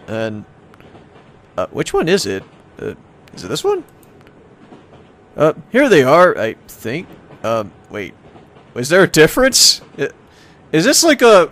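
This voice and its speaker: A man's voice: a little high, a bit bright. A man hosting a gaming playthrough, reading visual novel text aloud and breaking off for joking, sarcastic asides. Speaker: let's play host.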